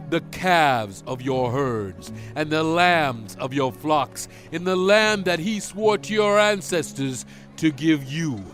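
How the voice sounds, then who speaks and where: An actor playing a solemn, commanding prophet in a dramatized audio Bible, stern and fervent, speaking with deliberate, emphatic oratory. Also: male voice, fairly high pitch. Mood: solemn, tender. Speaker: dramatized scripture narrator. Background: music.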